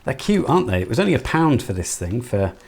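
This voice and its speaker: A man's voice: mid-range voice, big pitch swings, fast, a little mellow, slightly rough. A man giving casual, informal hands-on commentary as he works through an electronics build, half talking to himself. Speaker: electronics hobbyist presenter.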